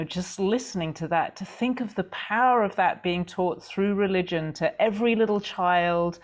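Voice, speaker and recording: A woman hosting a podcast, asking a guest curious, reflective questions in a relaxed conversation. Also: lowish voice. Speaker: podcast host.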